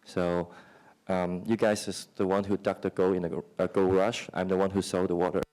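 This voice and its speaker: A man's voice: low voice, flat delivery, brisk, darkish tone. A man from Hong Kong, a founder and CEO presenting on a conference panel, joking and speaking in loose non-native English. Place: conference room.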